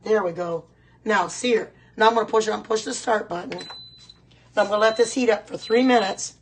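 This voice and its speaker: A middle-aged woman with a hoarse voice, chatting casually and repeating herself as she hosts a live cooking demonstration. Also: medium pitch, fairly quick, slight background noise. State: hoarse voice, clearing throat at times. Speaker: host of a live home cooking stream. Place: home kitchen.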